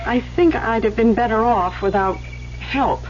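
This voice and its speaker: A woman's voice: fairly high pitch, a little slow, darkish tone, a little hiss or hum, natural voice. An actress playing a pointed, coaxing young woman at a resort in a 1970s radio drama. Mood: indignant. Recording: dull, band-limited 1970s radio recording.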